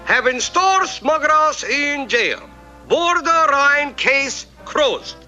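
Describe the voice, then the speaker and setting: A man's voice: very high voice, lively intonation, slow pace, bright, forceful tone, exaggerated voice. An actor voicing a polite, clever little male detective sidekick in a 1960s TV cartoon, with clipped broken English and excessive courtesy. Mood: formal. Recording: music bed under dialogue.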